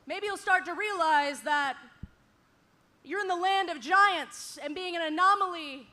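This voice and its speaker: Brief: female wrestling talk host, sharp bright tone, mocking. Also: very high-pitched, measured pace. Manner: sarcastic, mocking, needling. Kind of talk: wrestling talk show promo. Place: indoor arena.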